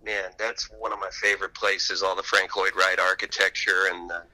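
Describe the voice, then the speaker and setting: A man's voice: sits low, flat delivery, moderate pace, pushed and bright, poor audio. A man speaking as an interview guest and author, telling anecdotes in an enthusiastic, conversational way.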